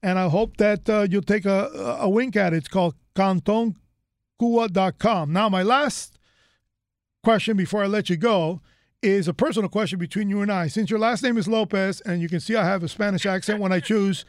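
A man's voice: high voice, normal speed, no room sound, clean studio sound. A man from the United States hosting an interview in a rambling, chatty way, full of fillers and restarts. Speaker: host. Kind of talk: casual interview chat.

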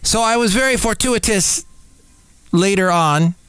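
A man's voice: high-pitched, unhurried, fairly bright tone, clean tone, dry recording. A middle-aged man hosting a podcast, casually reminiscing over old radio tapes with playful, exclamatory asides. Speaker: podcast host and former radio DJ.

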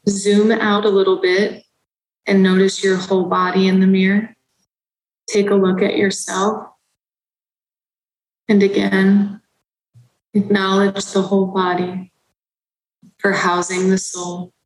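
Female meditation guide, slow soothing delivery, flat even tone, echoey room. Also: mid-range voice.

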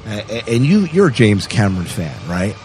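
A man's voice: fairly low pitch, very animated, moderate pace, darkish tone, a little hiss or hum. A man co-hosting a podcast, chatting casually and enthusiastically about science fiction news. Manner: chatty, enthusiastic, asks his co-host questions. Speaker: podcast co-host. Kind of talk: casual sci-fi news chat.

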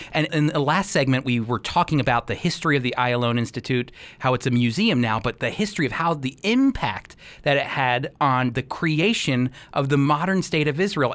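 A man's voice: mid-range voice, a bit bright. A man hosting a radio interview in a conversational style, guiding the guest and addressing listeners.

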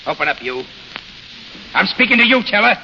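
A man's voice: sits high, big pitch swings, a little fast, pushed and bright, exaggerated voice. An actor playing a brash, trigger-happy gangster henchman with a tough, slangy bark, in 1930s radio style. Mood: belligerent. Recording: muffled, hissy 1930s radio recording.

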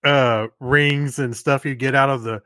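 A man's voice: medium pitch, steady tone, bright, forceful tone, dry recording, studio quality. A man co-hosting a podcast, riffing casually on the news in a joking, easily amused way. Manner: jokey, quick to laugh. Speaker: podcast co-host.

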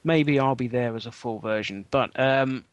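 A man's voice: mid-range voice, normal speed, clean tone, dry recording. A man co-hosting a podcast, telling a story in a casual, wry way with lots of ums. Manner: chatty, wry storytelling with many fillers. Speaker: podcast co-host. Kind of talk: casual chat.

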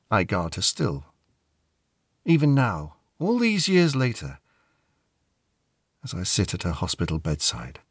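Very expressive male story reader, dry sound. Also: on the low side, no echo. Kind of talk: dramatic first-person story narration.

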